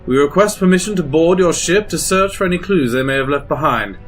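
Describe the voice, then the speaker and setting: A man's voice: on the high side, expressive, a little fast, lightly acted. A man playing an anxious, dutiful Imperial lieutenant, formal and military, in a sci-fi audio drama. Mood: formal command. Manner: formal, military, slightly nervous. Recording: music behind the voice.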